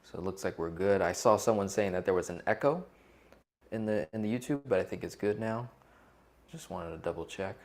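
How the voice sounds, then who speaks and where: A man's voice: low-pitched, fairly quick, vocal fry, a little mellow. A man co-hosting a casual, interactive coaching talk online, putting questions to his listeners and often checking in with them. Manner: conversational, asks questions and checks in with "right?". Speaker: co-host leading an interactive online seminar. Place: live video call session.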